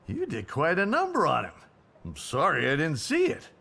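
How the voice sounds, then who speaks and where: An actor playing a blunt, sardonic grumbler in a video game, gruff and irreverent. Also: male voice, a little high, wildly swooping pitch, moderate pace, pushed and bright, heavily acted. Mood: gloating. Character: sardonic, grumbling, blunt man in old Warsaw. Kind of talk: video game cutscene acting.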